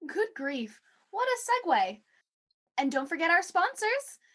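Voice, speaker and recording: Excitable vintage radio co-host, woman performer: exclamatory, high pitch, big melodic swings. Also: unhurried, exaggerated voice. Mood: bright, gracious. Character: excitable, theatrical female 1940s radio co-host. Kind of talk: 1940s radio acting.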